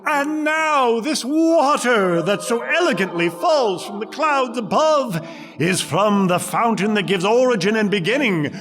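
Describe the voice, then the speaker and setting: A man's voice: very high-pitched, lively intonation, unhurried. An actor playing a slick, grandiose charlatan in a theatrical reading, declaiming like a showman. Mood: proclaiming. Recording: reverberant hall, faint music.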